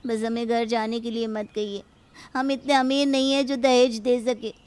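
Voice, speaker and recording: Lady doing a desperate young bahu in a cartoon, clear highish voice, pleading and very submissive. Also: level tone, moderate pace, a little mellow, lightly acted. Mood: begging. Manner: submissive, imploring. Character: humble, desperate young daughter-in-law begging for mercy. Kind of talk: Hindi cartoon story acting.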